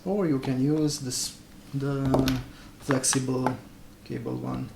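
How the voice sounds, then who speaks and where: A man's voice: mid-range voice, little pitch movement, slow, creaky. A man who is not a native English speaker gives a casual, hesitant product unboxing commentary, often searching for words. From Transylvania, Romania. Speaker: product reviewer. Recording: echoey room, noisy, clicks and crinkling.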